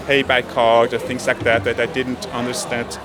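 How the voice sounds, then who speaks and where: A man's voice: medium pitch, monotone, normal speed, crowd noise. A man with a Swiss accent being interviewed as a traveler, who sometimes searches for words.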